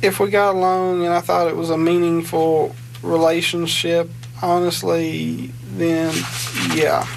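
A young man with a gravelly, fry-laden voice gives short, hesitant answers. The pitch sits a little high, and the raw footage carries a clear layer of background noise. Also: flat delivery, measured pace, low-quality recording. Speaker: suspect under questioning.

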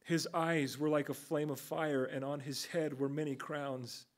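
A pastor in his thirties preaching from the pulpit, his voice sitting a little high for a man. He talks in an earnest, conversational way, rising for emphasis as he works through the passage, recorded on a pulpit mic in a church sanctuary. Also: little pitch movement, a little slow. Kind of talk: expository sermon. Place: church sanctuary with a stage and band gear.

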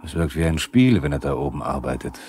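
A very low-voiced male narrator in a 1970s radio drama. He is sober and probing as he addresses the characters directly. The old vinyl recording carries faint noise. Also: sing-song, brisk, a little mellow, full character voice.

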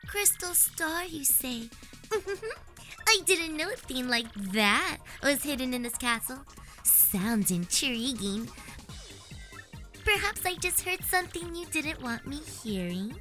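A flirtatious female mouse thief from a cartoon video game. The voice is very high and forward, sly and coy, with theatrical teasing over background music. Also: animated, slow pace, bright, forceful tone, exaggerated voice. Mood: sly, intrigued. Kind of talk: video game fan voice acting.

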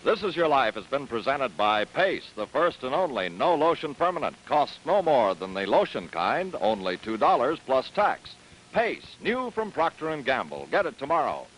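A man's voice: mid-range voice, big pitch swings, moderate pace, fairly bright tone, slight background noise, low-quality recording. An American man announcing ads and credits on a 1950s television broadcast in a polished, promotional style. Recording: old TV broadcast, music, crowd behind.

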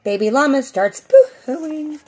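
Middle-aged female preschool teacher, high-pitched, expressive sing-song read-aloud for small children. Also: unhurried. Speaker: preschool teacher reading a storybook. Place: outdoors on a covered porch.

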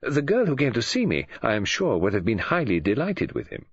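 A man voicing a prim, fussy older male detective who speaks in a precise, measured way. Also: medium pitch, wildly swooping pitch, husky, exaggerated voice. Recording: dull, dry close recording.

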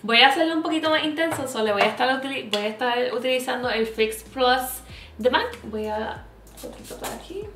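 A woman's voice: fairly high pitch, a little fast, echoey room, background noise. A young woman from Puerto Rico with a Puerto Rican accent, chatting through a makeup tutorial and slipping English words into her speech. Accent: Puerto Rican Spanish. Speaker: makeup vlogger. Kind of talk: makeup tutorial, talking while applying. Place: small indoor room with plain backdrop.

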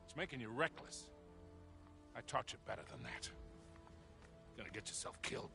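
Gravelly older American mentor. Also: male voice, medium pitch, huge pitch swings, moderate pace, full character voice. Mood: stern, concerned. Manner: gruff, dry, folksy. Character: gruff older male mentor, weary, loyal, sardonic. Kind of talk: video game cutscene acting. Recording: game soundtrack music behind.

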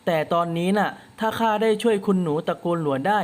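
Man voices a hopeful young male fighter, earnest, bright high tone. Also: quick pace, natural voice.

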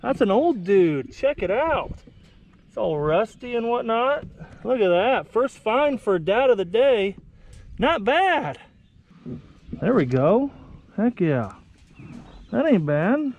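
High-voiced, folksy American man vlogging. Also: slow, long pauses. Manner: folksy, excitable. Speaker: vlog host. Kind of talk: casual outdoor vlog chat. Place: outdoors on a kayak under a bridge. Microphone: action camera. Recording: muffled action camera audio with outdoor noise.

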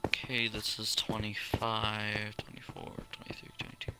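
A man playing along in a game, chatting low-key over a voice call. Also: completely flat delivery, normal speed, background noise. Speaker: co-player. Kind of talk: casual gameplay chat.

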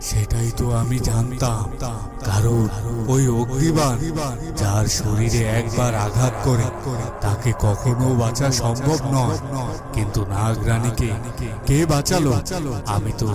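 A man voicing a villainous, scheming magician emperor in a fairytale cartoon, speaking emphatically with repeated phrases and dramatic pauses. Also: medium pitch, fairly even intonation, natural voice. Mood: brooding. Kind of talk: Bengali fairytale cartoon voice acting. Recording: loud music under the voice.

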